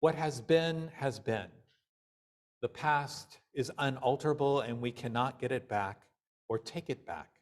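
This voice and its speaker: A male minister delivering a sermon in deliberate, measured phrases with pauses. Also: mid-range voice.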